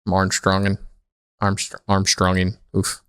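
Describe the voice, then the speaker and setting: A man co-hosting a podcast with casual, comedic chat, rambling and halting as he tells stories. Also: deep voice, completely flat delivery, fairly bright tone, smooth, dry recording, clean studio sound. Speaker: podcast co-host.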